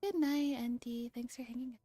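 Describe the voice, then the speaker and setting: A woman streaming a soft-spoken ASMR read-aloud of a visual novel. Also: fairly high pitch, fairly even intonation, normal speed, no echo, faint music behind.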